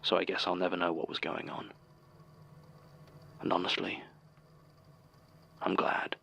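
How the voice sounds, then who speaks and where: A man's voice: sits low, monotone, measured pace, creaky, pushed and bright, a little hiss or hum, heavily acted. A man playing an uneasy small-town witness giving a subdued, matter-of-fact statement in a horror audio drama. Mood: subdued unease.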